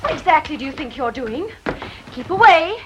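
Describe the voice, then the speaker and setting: A woman's voice: high voice, big pitch swings, moderate pace, lo-fi sound. A haughty, indignant young lady. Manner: crisp, commanding, upper-class scolding. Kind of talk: 1930s film acting. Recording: hissy 1930s film soundtrack.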